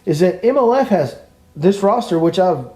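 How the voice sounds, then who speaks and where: Emphatic, mellow-voiced podcast host. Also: male voice, high-pitched, animated, moderate pace. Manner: emphatic, repetitive, ranting. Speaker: podcast host and fishing guide. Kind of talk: opinionated podcast chat.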